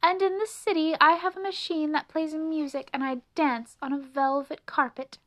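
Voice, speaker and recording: A woman voicing a grand, excitable, bossy female city mouse in a children's storybook, full of exclamations. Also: sits high, unhurried, pitched above the performer's own voice. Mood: grand, boastful.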